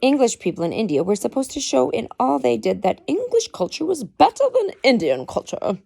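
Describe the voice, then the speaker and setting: A woman's voice: mid-range voice, gravelly, mellow, no room sound. A woman reading a book aloud expressively, with dramatic emphasis and informal explanatory asides. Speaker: parent reading aloud to children.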